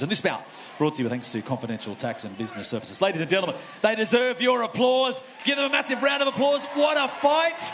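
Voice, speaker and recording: Theatrical cage announcer, arena echo and crowd noise behind. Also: male voice, fairly high pitch. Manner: theatrical, drawn-out shouts. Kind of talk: booming ring announcing.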